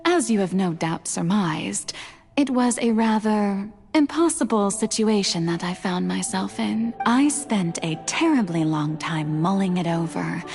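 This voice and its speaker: A woman's voice: mid-range voice, natural voice. A woman voicing an elegant, self-assured goddess in a video game, with refined, theatrical, grandiloquent diction and a playful yet wistful temper. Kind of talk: video game character monologue. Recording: background music under studio voice.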